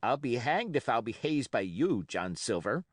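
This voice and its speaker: A man's voice: medium pitch, sing-song, moderate pace, no room sound, studio quality, pitched above the performer's own voice. A man voicing a resentful pirate crewman who grumbles in a sullen way.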